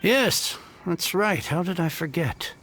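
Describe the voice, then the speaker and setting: A man's voice: sits high, animated, takes it slowly, fairly bright tone, faint music behind, full character voice. An actor playing an impoverished, theatrical middle-aged book collector who laments his lost books. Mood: nostalgic. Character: impoverished middle-aged bookish shopkeeper, dramatic.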